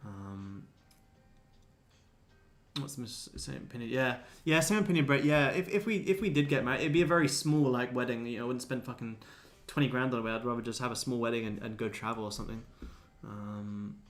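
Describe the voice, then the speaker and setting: A man's voice: medium pitch, fairly quick, a bit bright, soft background music. A man in his twenties chatting casually as a livestream host answering viewers, laid-back, blokey and sweary. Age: 20s. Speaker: livestream host, day trader.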